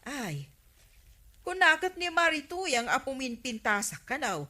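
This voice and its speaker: A vain housewife from a radio comedy, bragging to her neighbours with an Ilocano accent. Her voice is bright and high, and she stretches her words slowly in exaggerated swoops. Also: big pitch swings, exaggerated voice. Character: vain, boastful village housewife gossiping with neighbours. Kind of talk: broadcast radio comedy drama acting.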